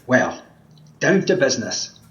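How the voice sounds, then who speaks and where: A man's voice: mid-range voice, very animated, a little slow, fairly bright tone, slight background noise. Scottish wish-granting tramp male amateur actor, radio play. Cajoling, theatrical, swooping intonation, slightly muffled remote recording. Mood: earnest, aggrieved. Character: pleading, mischievous male wish granter disguised as a scruffy tramp. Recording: dull remote home recording.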